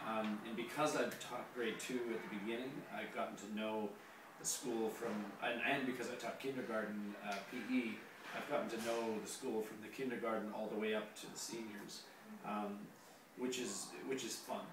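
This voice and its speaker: A hoarse man, a teacher being interviewed, answering casually with many pauses and the odd dry joke. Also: fairly low pitch, steady tone. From Canada. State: hoarse, losing his voice. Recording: very echoey room, distant mic, noisy.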